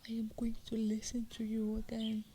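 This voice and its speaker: A woman's voice: a little high, completely flat delivery, normal speed, dark tone, slight background noise, natural voice. A woman voicing a frightened young village girl, whispering and pleading.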